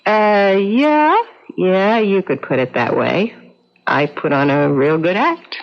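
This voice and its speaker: Brash streetwise woman, lively swooping delivery. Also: on the low side, slow pace. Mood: mocking. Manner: slangy, teasing, impatient. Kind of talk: old-time radio drama acting. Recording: muffled, noisy old radio broadcast.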